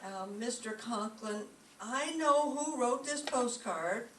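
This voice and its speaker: Dry, quick-witted female teacher, acted by a woman, radio comedy delivery, heard at a distance in a roomy space. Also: on the high side, fairly bright tone. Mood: dry, evasive. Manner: dry, wisecracking. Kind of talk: old-time radio comedy acting. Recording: echoey stage, distant mic, faint noise.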